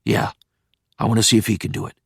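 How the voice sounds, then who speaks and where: A man voicing a gruff older male doctor who gives curt, cold commands. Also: on the low side, fairly even intonation, fast talker, no echo, clean studio sound, heavily acted. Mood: commanding.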